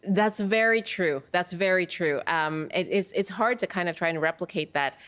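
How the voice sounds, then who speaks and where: A woman from Canada hosting a live call-in radio show, chatty and quick to laugh. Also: fairly low pitch, fairly bright tone. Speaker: radio host.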